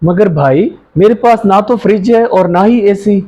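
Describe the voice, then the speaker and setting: A man's voice: high-pitched, mellow, lightly acted. A man playing a humble, troubled uncle short of money in an amateur moral drama, plaintive and respectful. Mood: worried, protesting. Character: troubled, humble, hard-up younger brother and uncle. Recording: slightly dull lo-fi sound.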